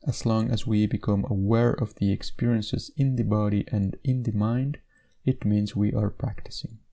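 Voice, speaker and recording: A man leading a guided meditation in a measured, instructional way, with gentle pauses between phrases. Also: lowish voice, steady tone, creaky.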